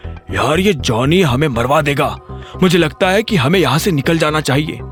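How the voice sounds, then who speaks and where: A man narrating a scripted story in a dramatic audio-series style. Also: on the high side.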